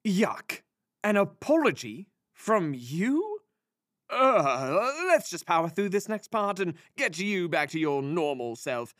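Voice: mid-range voice, very animated, slow, a bit bright, dry recording, studio quality. An actor playing a pompous, cocky sorcerer who serves an empress, haughty and theatrical with flustered hesitations, in a fantasy audio drama. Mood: indignant.

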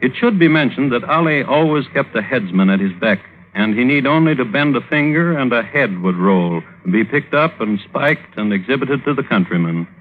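An actor playing a wry storyteller, narrating in a measured, conversational vintage radio style. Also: male voice, mid-range voice, animated, close to an everyday voice. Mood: wry storytelling. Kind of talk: 1950s radio scripted narration. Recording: muffled, noisy old radio recording.

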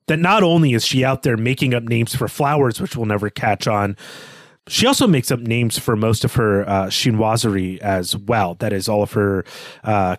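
A man's voice: fairly low pitch, no room sound, clean studio sound. A man co-hosting a podcast, talking through literature in an analytical, wordy way. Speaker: podcast co-host. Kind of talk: literary discussion.

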